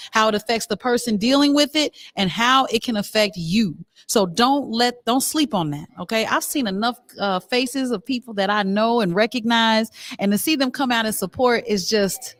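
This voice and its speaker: A woman's voice: fairly high pitch, fairly bright tone, dry recording. A middle-aged woman hosting a live show in a casual, chatty way, talking directly to her viewers. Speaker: talk show host.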